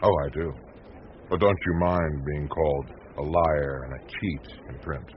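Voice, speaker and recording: An actor playing a cynical, needling newspaper reporter in a 1980s radio drama, with a sardonic, pointed way of talking. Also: male voice, very deep voice, measured pace. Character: cynical, needling male American newspaper reporter, indignant crusader. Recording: muffled, noisy old radio broadcast recording.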